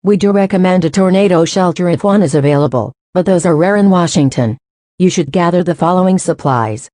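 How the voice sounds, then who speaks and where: A woman playing a serious, composed local television news host, reading a scripted broadcast in a formal, measured way. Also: fairly low pitch, warm tone, no room sound, studio quality, close to an everyday voice. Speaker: news anchor.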